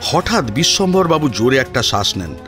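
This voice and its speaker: A man narrates a scripted drama. His delivery is theatrical and very animated in pitch, laid over faint background music. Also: brisk. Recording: music under the voice.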